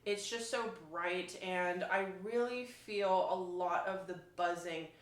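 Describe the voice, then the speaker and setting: A woman's voice: fairly low pitch, steady tone, slow. A woman violinist giving a casual, enthusiastic and emphatic spoken demonstration, explaining things as she goes. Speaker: violinist reviewing an instrument. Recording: roomy, echoing sound.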